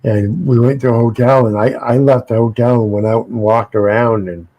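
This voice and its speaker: A man's voice: mid-range voice, fairly even intonation, moderate pace, mellow, no echo, rough recording. A middle-aged American man, co-hosting a casual panel chat, rambling and repeating his words. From United States. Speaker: co-host.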